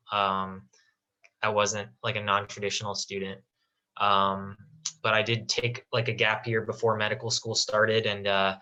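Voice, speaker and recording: A young man introduces himself over a video call in a loose, casual way. His voice is low and bright-edged, and it stays flat. He keeps dropping in "like" and "um". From Las Vegas. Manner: casual, lots of "like" and "um". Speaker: medical student.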